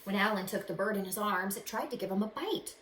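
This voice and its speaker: A woman teacher reading a storybook aloud to children in an expressive way. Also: mid-range voice, quick pace. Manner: expressive, addresses young listeners with questions. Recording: echoey room with faint background noise.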